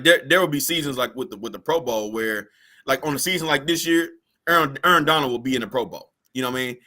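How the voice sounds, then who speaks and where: A slightly hoarse man co-hosting a casual sports podcast, loose and repetitive, full of "you know what I mean.". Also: mid-range voice, pushed and bright.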